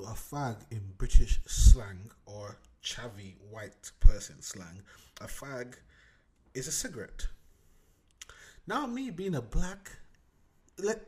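A man's voice: mid-range voice, a little mellow. A young man from Nigeria in his twenties hosting a casual solo podcast from his home desk, rambling, digressing and swearing freely. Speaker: podcast host. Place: home room at a computer desk.

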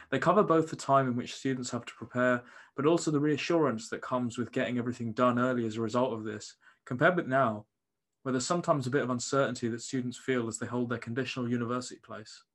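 A man co-hosting an education podcast, reading scripted links briskly and smoothly. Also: medium pitch. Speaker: podcast co-host.

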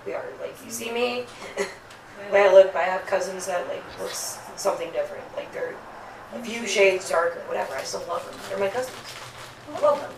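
A woman's voice: mid-range voice, normal speed. A first-generation American woman telling a personal story in a group discussion, hesitant and full of fillers. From United States, first generation of a Puerto Rican family. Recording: roomy, distant mic, noisy background.

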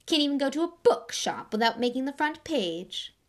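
A woman's voice: high-pitched, very animated, normal speed, pitched above the performer's own voice. A woman voicing a spiteful schoolboy wizard, with a drawling, sneering delivery. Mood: mocking.

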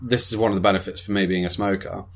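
A young man co-hosting a podcast, joking loudly and swearing a lot in casual chat. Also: deep voice, fairly even intonation, fast, creaky. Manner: loud, jokey, swears a lot. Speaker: podcast co-host.

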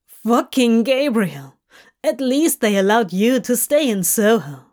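A woman voicing a brash, anxious male demon who swears, delivered louder and rougher than her narration, in a slow audiobook reading. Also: a little high, big pitch swings. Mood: emotional.

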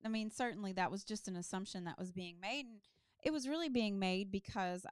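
A woman's voice: medium pitch, a little fast, clear, resonant tone, no echo, studio quality. A woman guest on a podcast, telling a long story in casual chat, full of ums and side stories.

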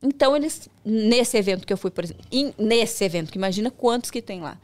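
Podcast guest woman, animated storyteller, voice leaps up when excited, relaxed chatty delivery. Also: mid-range voice, fast talker. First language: Portuguese. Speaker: podcast guest, micropigmentation professional. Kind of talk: casual conversation.

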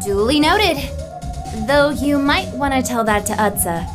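High, teasing girl camper played by an actress. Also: animated, measured pace. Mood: teasing, brisk. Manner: teasing, no-nonsense. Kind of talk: audio drama acting. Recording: music behind the voice.

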